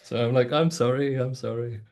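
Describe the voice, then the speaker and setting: A man's voice: mid-range voice, steady tone, normal speed, a little mellow. A man from Mozambique in a casual group discussion, telling wry stories with dry humor over a video call.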